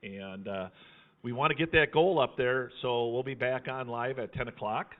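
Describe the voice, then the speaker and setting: A man's voice: mid-range voice, normal speed. A man chatting casually as host of a live fundraiser, in a folksy, teasing way. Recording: thin phone-like sound.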